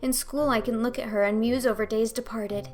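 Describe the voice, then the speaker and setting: A woman's voice: a little high, steady tone, fairly quick, a little mellow, close to an everyday voice. A woman voicing a melodramatic, imaginative young girl in a storybook reading, gushing in flowery, old-fashioned phrases. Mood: mournful, resigned.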